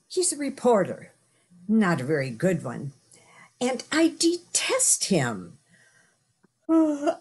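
An older woman playing a stubborn, exasperated newspaperwoman in a radio play, husky and theatrical, over a video call. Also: medium pitch, heavily acted. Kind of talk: old-time radio play acting.

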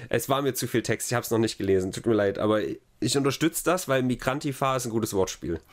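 A male podcast host talks casually at a medium pitch. He rambles through his anecdotes with a sardonic edge, as if chatting with a friend. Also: fast talker.

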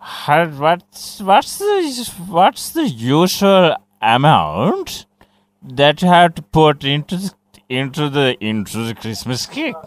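A man's voice: a little high, wildly swooping pitch, slow, dry recording, exaggerated voice. A man playing a tipsy, hesitant husband on a prank call, rambling and repeating himself. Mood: confused, rambling. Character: tipsy, rambling husband baking a Christmas cake. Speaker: radio host making a prank call.

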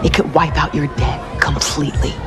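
An actress playing a guarded, stubborn young street racer, dry and defiant, in a game cutscene. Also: female voice, low voice, flat delivery, moderate pace, over music, a crowd in the background, lightly acted. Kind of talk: video game cutscene acting.

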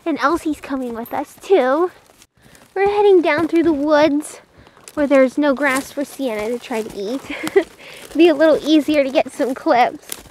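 High-voiced woman chatting on a vlog. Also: unhurried, darkish tone, a little hiss or hum, low-quality recording. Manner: chatty, talking fondly about and to animals. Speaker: horse vlogger. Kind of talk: casual vlog narration.

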